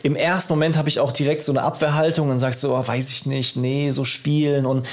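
A man's voice: medium pitch, level tone, brisk. Casual male podcast co-host, informal and joking. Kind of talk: casual chat between friends.

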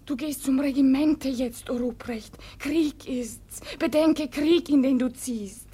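An actress playing a distressed young village maiden in a classical German radio play, imploring with hushed urgency. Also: female voice, sits high, slow, slightly rough, heavily acted. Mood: pleading. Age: young adult.

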